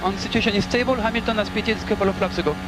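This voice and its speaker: Man, race engineer, high-pitched voice, clipped polite technical updates, team radio effect, very loud vehicle noise. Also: fairly even intonation, rapid-fire. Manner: polite, instructive, reporting numbers and gaps. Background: loud car and engine noise.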